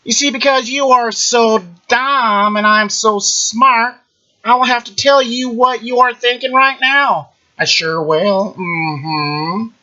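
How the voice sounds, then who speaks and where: A man playing a smug, boastful internet ranter who claims to read minds, taunting the listener in a mocking sing-song and tagging lines with drawn-out "mm-hmm"s. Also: very high-pitched, bright, forceful tone, highs cut off, exaggerated voice. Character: smug boastful male internet ranter, self-proclaimed mind-reader. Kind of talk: mocking satirical monologue.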